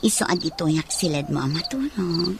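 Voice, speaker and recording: A woman's voice: on the low side, very animated, moderate pace, gravelly, heavy background noise. A woman playing a lovesick, persistent wife in a melodramatic radio drama. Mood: pleading, hurt.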